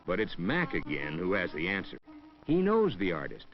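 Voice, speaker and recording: A man's voice: sits low, huge pitch swings, moderate pace. A man reading scripted narration for a 1960s educational film in a wry storytelling way, echoing hip slang. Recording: dull old 1960s film soundtrack with music. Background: background music.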